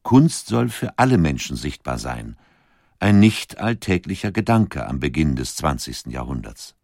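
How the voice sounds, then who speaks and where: Deep, calm male voice-over for an art documentary, close and dry. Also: no room sound. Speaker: documentary narrator. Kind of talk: scripted narration.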